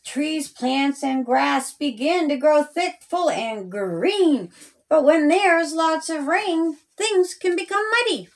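Lady doing kids storytime, rhyming, sing-song, really slow and kinda high voice. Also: lively intonation. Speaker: storyteller, author reading own children's story. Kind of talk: rhyming children's storytime read-aloud.

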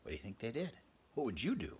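A man in his fifties with a gravelly voice reads a picture book aloud, adding chatty, conversational asides for young listeners. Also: mid-range voice, huge pitch swings, quick pace, a little hiss or hum. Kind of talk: children's read-aloud with casual asides. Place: small furnished bedroom, indoors.

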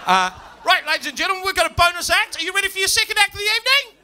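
A man working as MC at a comedy club, shouting to rev up the crowd as he brings on the next act. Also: very high voice, expressive, rapid-fire, slight background noise. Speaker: MC introducing acts. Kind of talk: hyped stage introduction.

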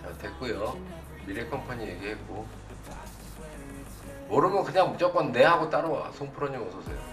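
Animated man hosting, roomy distant sound. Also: medium pitch, normal speed. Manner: blunt, informal, quizzing viewers with repeated either-or questions. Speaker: stock broadcast host. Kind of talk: live stock chart commentary for viewers. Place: indoor desk at a computer, livestream setup. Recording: roomy, distant mic, faint music behind.

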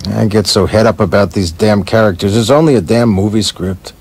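A man playing a cantankerous, boozy middle-aged screenwriter in a radio play, gruff, profane and combative. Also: lowish voice, brisk, darkish tone. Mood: weary, grumbling.

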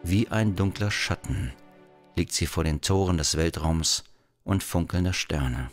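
A man's voice: deep voice, unhurried. A man as the solemn narrator of a science-fiction thriller radio play, reading scripted narration. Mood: ominous. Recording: music behind the voice.

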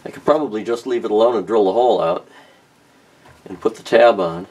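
A man's voice: lowish voice, fairly quick, darkish tone, poor audio. A middle-aged man narrating a repair at his workbench in a casual, thinking-aloud way with many ums and restarts. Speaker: car restoration vlogger. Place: home workshop at a workbench.

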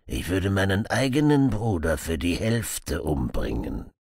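A man's voice: sits low, sing-song, unhurried, creaky, darkish tone, husky, no echo, clean studio sound, heavily acted. A man voicing a boastful, murderous outlaw in an audiobook, grim, menacing and full of contempt. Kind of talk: audiobook character acting.

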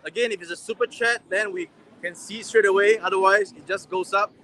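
Bright-voiced man hosting a street livestream. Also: high-pitched, fairly even intonation, brisk. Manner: chatty, reads viewer comments aloud. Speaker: livestream host. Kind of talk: casual livestream chat. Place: busy city pedestrian street outdoors. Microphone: gimbal-mounted phone at arm's length. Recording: faint street noise.

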